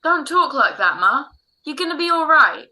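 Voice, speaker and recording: A woman's voice: sits high, big pitch swings, normal speed, sharp tone, full character voice, low-quality recording. A woman playing an earnest, restless, dutiful son in a radio drama. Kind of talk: classic radio drama acting.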